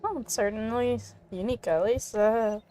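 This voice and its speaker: A woman's voice: a little high, moderate pace, dark tone, a little hiss or hum. A woman giving casual, giggly gameplay commentary as she reacts to a video game. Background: game music.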